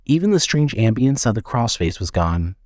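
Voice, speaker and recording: A man's voice: medium pitch, very animated, moderate pace, darkish tone, dry recording, studio quality. A man narrating a scripted horror story with dramatic storytelling delivery.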